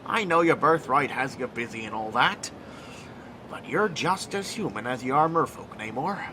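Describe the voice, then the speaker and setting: Hearty, animated older father. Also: mid-range voice, moderate pace, a little hiss or hum, low-quality recording. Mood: proud, tender. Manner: folksy, exuberant. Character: hearty, affectionate older American naval officer father. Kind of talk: cinematic audio drama acting.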